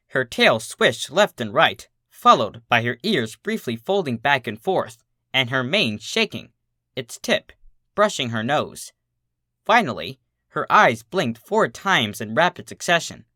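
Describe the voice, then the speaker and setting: A narrator reading a scripted story in an expressive storytelling manner. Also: mid-range voice, a little slow, no room sound, clean studio sound. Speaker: fan fiction reader.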